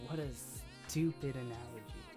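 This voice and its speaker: A medium-pitched video essayist delivers scripted commentary on anime. The delivery is conversational and self-aware, with guitar music playing behind the voice. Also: moderate pace.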